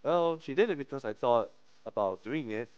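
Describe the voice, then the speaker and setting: A man's voice: mid-range voice, expressive, normal speed, darkish tone, clean tone, no room sound, lo-fi sound. A man live-streaming a visual novel, reading it aloud with slangy commentary and frequent stumbles over the text. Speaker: game streamer.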